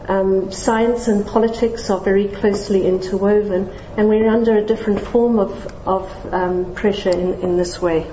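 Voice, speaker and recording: A woman's voice: medium pitch, steady tone, normal speed, hardly any pauses, mellow, slight background noise. A middle-aged woman from Zambia giving a lecture to a general audience in a lecture hall, friendly, with light self-deprecating humor and plenty of ums. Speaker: scientist giving a public lecture. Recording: dull, echoey lecture hall recording.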